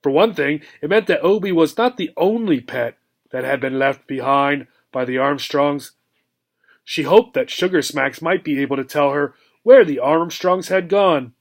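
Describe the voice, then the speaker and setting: A man reading a children's chapter book aloud as a teacher, expressively, with deliberate dramatic emphasis and pauses. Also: a little high. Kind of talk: expressive children's audiobook narration.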